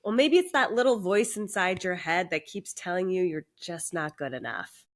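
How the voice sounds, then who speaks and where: A woman's voice: lowish voice, animated, normal speed. A woman co-hosting a podcast interview, speaking in an upbeat, enthusiastic way. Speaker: podcast co-host.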